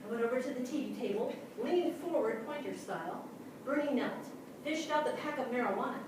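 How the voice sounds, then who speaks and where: A woman in a staged reading narrating as a naive, earnest dog, with expressive comic timing. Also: high-pitched, a little fast. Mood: earnest, droll. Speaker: narrator in reader's theatre. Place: small theatre stage before an audience. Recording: very echoey theatre, distant, noisy.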